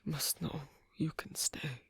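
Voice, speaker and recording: A man playing a gentle, worried royal of a fantasy kingdom in an ASMR story roleplay, speaking in a breathy, husky whisper with halting, formal phrasing. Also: no room sound, studio quality, lightly acted. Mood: kind, hesitant.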